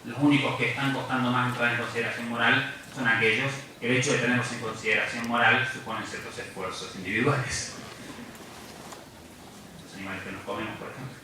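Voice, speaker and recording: A man's voice: medium pitch, steady tone, fairly quick, far from the mic, background noise. Man lecturing in a very echoey hall. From Argentina. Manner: earnest, reflective, with restarts. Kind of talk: public lecture. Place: large university lecture hall.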